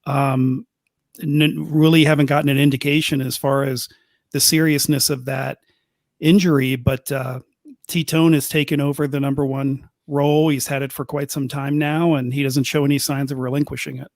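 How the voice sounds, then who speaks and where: A man with a Midwestern American accent giving casual soccer analysis in a measured way, often pausing with fillers. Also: medium pitch, level tone, no echo, clean studio sound. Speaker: co-host.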